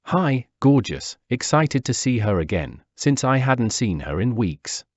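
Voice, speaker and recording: A man's voice: medium pitch, big pitch swings, unhurried, dry recording. A man, reading a wistful first-person memoir. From Peru. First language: Spanish. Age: 40s. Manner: wistful, reflective. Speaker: narrator. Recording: dull dry lo-fi recording.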